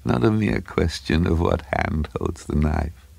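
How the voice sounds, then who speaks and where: A small bearded madman, acted out by a male narrator, low and croaky, sighing out his words with a wet bubble in them. He stammers and rambles to himself, sly and conceited, in a macabre story reading that sits dry and close. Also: unhurried, a little mellow, dry recording, heavily acted. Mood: sly, confiding. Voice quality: low, breathless, sighing, bubbling speech impediment.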